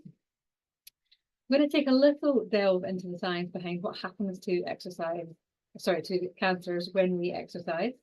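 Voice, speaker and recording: A woman in her forties with a strong Scottish accent, giving an online science lecture quickly, with frequent fillers and restarts. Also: fairly low pitch, darkish tone, poor audio. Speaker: university lecturer and exercise scientist.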